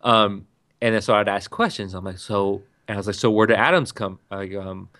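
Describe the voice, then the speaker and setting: A man's voice: on the low side, fairly even intonation, a little fast, a bit bright, a little hiss or hum. A man chatting casually, rambling and often restarting his sentences as he tells stories. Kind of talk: casual podcast chat.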